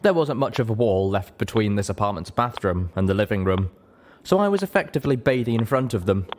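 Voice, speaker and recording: A man with a low voice narrates a work of fiction. He reads in the first person, in a dramatic storytelling style suited to an audiobook. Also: expressive, brisk, darkish tone. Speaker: story reader.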